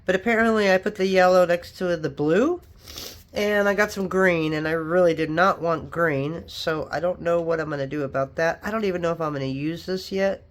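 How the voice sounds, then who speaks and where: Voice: mid-range voice, brisk. A sniffly craft vlogger, chatting casually and rambling through asides while working on a project. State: sniffly, stuffed up from allergies or a cold.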